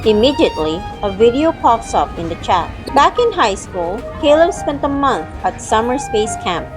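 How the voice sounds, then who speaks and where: A woman's voice: a little high, big pitch swings, moderate pace, rough recording. A woman narrating a first-person audio drama, acting out her own lines of dialogue within the story. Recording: background music under the voice. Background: music.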